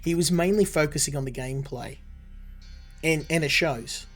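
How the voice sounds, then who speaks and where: A man streaming a game and chatting casually, rambling and correcting himself, his voice still a little rough from a cold. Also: on the high side, moderate pace, soft background music. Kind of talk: casual live gameplay commentary.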